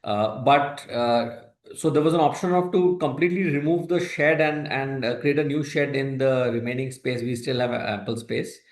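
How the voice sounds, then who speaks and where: Indian man, halting speech in echoey room. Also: medium pitch, steady tone. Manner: hesitant, halting delivery with broken phrases. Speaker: company executive answering investor questions. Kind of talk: earnings call answers, explanatory. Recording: roomy echo on a conference call.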